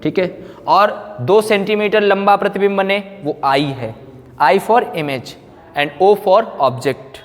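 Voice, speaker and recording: A man's voice: sits high, takes it slowly. A man teaching physics in a didactic way, often asking questions and answering them himself. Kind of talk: classroom lecture, explaining formulas. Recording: echoey hall-like reverb.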